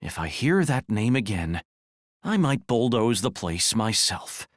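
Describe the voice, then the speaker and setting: Low-voiced, lively, weary gentleman. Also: a little slow, dry recording, clean studio sound. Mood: exasperated. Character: courteous, weary man worn down by troubles. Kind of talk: full-cast audiobook drama acting.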